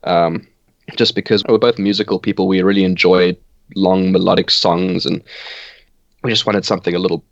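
A man's voice: low voice, moderate pace, creaky, dry recording, muffled recording. A man in his twenties from South Africa, whose first language is Afrikaans, chatting casually in an interview with frequent laughs. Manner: relaxed, laughs often, hesitant restarts. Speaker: band bassist.

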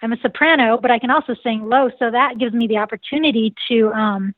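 A woman's voice: quick pace, no room sound. Nasal-voiced woman on a tinny line. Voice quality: nasal, fairly high voice. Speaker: musician guest. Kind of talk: casual interview chat. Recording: tinny dry phone line.

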